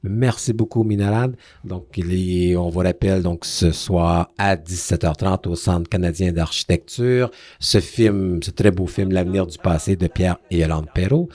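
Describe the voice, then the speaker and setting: A man hosting a radio interview about film, chatty and quick to laugh, often repeating words as he talks. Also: low-pitched, darkish tone, breathy edge. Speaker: radio host.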